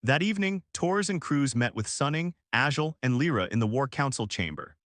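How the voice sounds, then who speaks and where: A man reading a scripted science fiction war story as its narrator, in a measured, formal storytelling manner. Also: mid-range voice, lively intonation, a bit bright, no room sound, clean studio sound.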